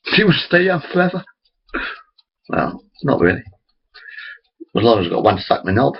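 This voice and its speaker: A man's voice: mid-range voice, big pitch swings, fairly quick, gravelly, slightly rough, dull audio. A man in his forties rambling chattily to his webcam, interrupting himself often. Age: 40s. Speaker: vlogger. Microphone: built-in computer camera mic.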